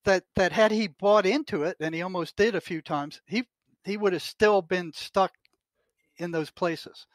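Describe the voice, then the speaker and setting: A man's voice: high voice, normal speed, dry recording. An older man, a retired psychotherapist hosting a podcast, making emphatic, insistent statements in casual chat.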